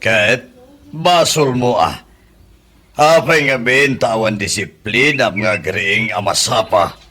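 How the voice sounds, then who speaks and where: A man's voice: mid-range voice, slow pace, pushed and bright, a little hiss or hum. Man acting nagging Ilocano elder relative. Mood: questioning, reproachful. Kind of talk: comedy radio drama acting.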